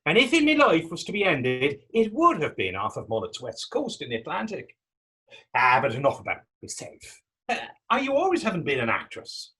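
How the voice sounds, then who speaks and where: A man playing Tommy, a talkative, gentle and wry Irish former fisherman, in staged readers theater dialogue with a folksy, halting storytelling lilt. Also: a little high, wildly swooping pitch, slightly rough, soft background music, full character voice. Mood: wistful, chatty.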